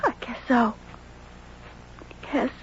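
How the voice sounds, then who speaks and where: A young girl in an old radio drama, with a high voice. She sounds earnest, troubled and hesitant, pleading in simple phrases. The recording is muffled, with faint noise. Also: expressive, slow pace. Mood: guilty, pleading. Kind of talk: 1970s radio drama acting.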